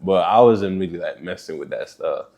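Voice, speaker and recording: A young man from Congo, raised in South Africa, with a fairly low voice. He chats as a podcast guest, relaxed and easygoing, often dropping to a softer tone and laughing. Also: normal speed. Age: young adult. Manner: relaxed, trails off softly, laughs a lot. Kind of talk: casual chat.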